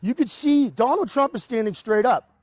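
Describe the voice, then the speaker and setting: A man in his forties with a Boston accent talks at length, animated and rambling, often starting sentences over. His voice sits high for a man, and the sound is close and dry, with no room to it. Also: moderate pace, no echo. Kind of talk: casual talk-show call.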